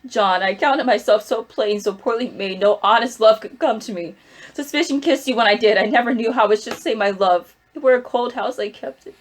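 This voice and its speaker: A woman acting the quiet, earnest wife of a condemned man in a period drama. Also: on the low side, steady tone, moderate pace, slightly rough. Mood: pleading.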